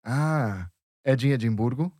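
A man's voice: medium pitch, normal speed, darkish tone, no room sound. Patient male language teacher, dry studio sound. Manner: patient, explains step by step with examples. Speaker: Portuguese teacher. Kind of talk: language lesson dialogue.

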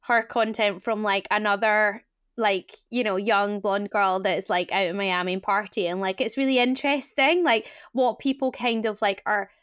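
A woman's voice: mid-range voice, steady tone, moderate pace, a bit bright, clear, resonant tone, no echo, clean studio sound. A British woman co-hosting a podcast, talking in loose, rambling chat. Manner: rambling, full of fillers. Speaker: podcast co-host. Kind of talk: casual chat.

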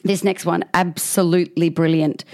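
A woman's voice: on the low side, fairly even intonation, moderate pace, darkish tone. A woman co-hosting a chat podcast, reading out stories with frequent laughter and acting out bouncers. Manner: laughs often, acts out bouncers in gruff quotes. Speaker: podcast co-host.

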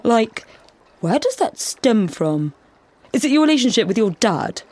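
A woman's voice: on the high side, sing-song, a little slow, a little mellow, no room sound. A woman voicing a moody young man who drinks tears, whiny and manipulative in a story reading. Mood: smug. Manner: whiny, self-pitying, manipulative.

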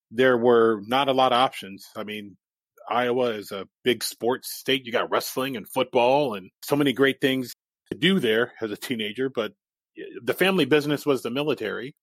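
A man's voice: medium pitch, no room sound. A man in his forties, a native Japanese speaker, a guest in an interview who tells his life story candidly and laughs easily.

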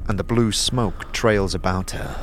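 A man narrating an atmospheric scripted fantasy story in a measured, dramatic manner. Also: on the low side, fairly even intonation, a bit bright, a little hiss or hum.

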